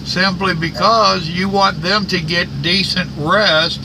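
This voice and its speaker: A man's voice: high-pitched, steady tone, slow. An older man giving casual, instructive trucking advice as the interviewer, in an agreeing way. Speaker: interviewer, veteran trucker mentor. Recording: clear vehicle noise in background. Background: vehicle noise.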